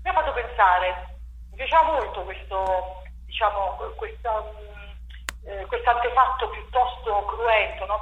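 A woman's voice: mid-range voice, fairly bright tone, muffled recording. A woman playwright and theatre director explaining her stage work in an interview, thinking aloud with frequent fillers and restarts. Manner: reflective, many fillers and restarts. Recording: echoey room with faint noise.

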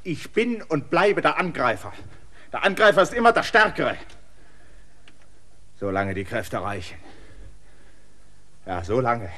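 A stubborn land surveyor who presses his case with insistent, emphatic defiance. His voice sits at a mid pitch. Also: sing-song, measured pace, pauses often, bright, forceful tone, full character voice.

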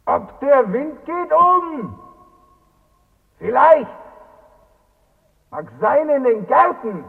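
An actor playing an eerie shade of the dead, calling out loudly in a drawn-out, declamatory radio drama style. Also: male voice, very high voice, sing-song, creaky, heavily acted. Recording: muffled phone-like sound, long reverb.

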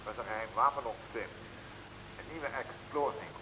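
An actor playing a worried, blustering army colonel in a comic Dutch radio serial, speaking in clipped phrases. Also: male voice, medium pitch, fairly quick, pushed and bright, lightly acted, poor audio. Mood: gloomy, worried. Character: gruff, worried, sleepless male army colonel. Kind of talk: 1950s-60s comic radio drama acting. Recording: hissy old radio recording.

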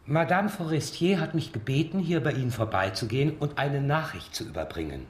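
A man's voice: medium pitch, lively intonation, a little fast, slight background noise, close to an everyday voice. Actor as prickly, sardonic male suspect. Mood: formal, dry. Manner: curt, biting, formally sarcastic. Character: prickly, sardonic, defiant blind man under police suspicion. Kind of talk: German radio crime drama acting.

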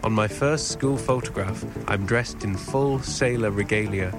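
A man in his thirties with an English accent reads a scripted memoir. His voice sits fairly low, the delivery is measured and literary, and music plays clearly underneath. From northern England. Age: 30s. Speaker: author narrating his autobiographical audio piece. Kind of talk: scripted autobiographical narration.

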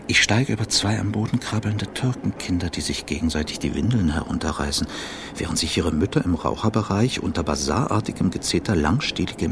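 A man's voice: low-pitched, vocal fry, noisy background. An actor playing a self-absorbed, sardonic media journalist who narrates his thoughts in a wry, wordy way in a radio play. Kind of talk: radio play interior monologue.

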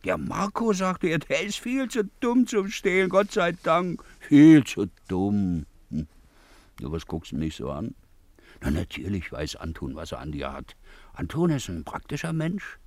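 A needling companion who pretends to soothe, in a 1950s radio drama. His voice has a gravelly creak and swings widely in pitch. He talks colloquially and repeats himself, recorded very dry. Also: sing-song, a little slow, a little mellow, no room sound. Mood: needling, mocking. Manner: colloquial, drawling interjections, repeats words. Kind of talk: 1950s German radio play acting.

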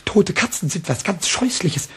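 A man voicing a mischievous little male kobold in a radio play, high and squeaky with a slight huskiness, stammering and fretful. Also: lively intonation, moderate pace, fairly bright tone, a little hiss or hum. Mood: anguished.

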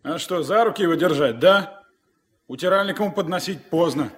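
An actor playing a cynical, coarse deckhand who mocks in colloquial speech, in a 1970s Soviet radio drama. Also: male voice, on the high side, fairly quick, close to an everyday voice. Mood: sneering. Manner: mocking, colloquial.

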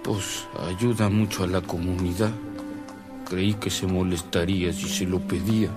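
An actor playing a poor, deferential peasant farmhand in a radio drama, with slow, rustic speech. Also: male voice, deep voice, level tone. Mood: humble, apologetic. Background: music.

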